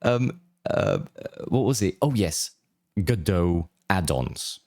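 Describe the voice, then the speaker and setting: A man hosts a relaxed podcast chat, his voice sitting very low. He talks eagerly, often repeating words and starting sentences over. The sound is dry and close. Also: slow pace, creaky, no echo. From Czech Republic. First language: Czech. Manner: enthusiastic, frequent word repeats and restarts. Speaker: podcast host. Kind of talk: casual interview chat.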